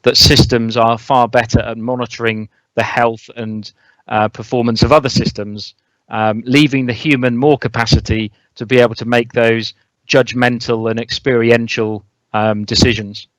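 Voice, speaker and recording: A man with a smooth, clean voice and very even intonation, recorded close and dry. He talks as an expert guest, explaining in a measured, conversational way. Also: medium pitch, no room sound, low-quality recording. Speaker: air traffic controller, interview guest.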